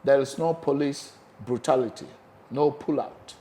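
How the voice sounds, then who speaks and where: A man with a Ghanaian accent hosting a casual interview, animated and prone to repeating his phrases. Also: medium pitch, moderate pace, a little hiss or hum. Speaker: host.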